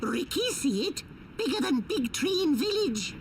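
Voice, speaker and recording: Little game creature, high, animated, childlike, blunt, eager, noisy background. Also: female voice, unhurried, heavily acted. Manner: simple third-person speech. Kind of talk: video game cutscene acting.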